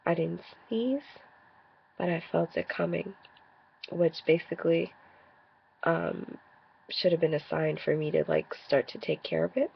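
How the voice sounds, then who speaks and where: Congested woman with a gravelly voice. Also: on the low side, fairly even intonation, a little slow, pauses often, highs cut off. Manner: frank, defensive, rambling. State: congested from a cold. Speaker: vlogger. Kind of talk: casual video rant.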